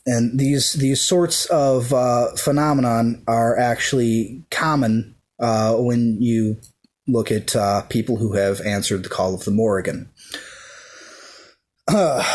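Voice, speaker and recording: This is a man talking about his faith without a script. He speaks slowly, filling gaps with ums and uhs, and starts sentences over. His voice is soft, at a middle pitch. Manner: hesitant, many ums and uhs; slow and soft-spoken. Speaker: pagan devotee sharing his views. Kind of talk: unscripted talk to camera.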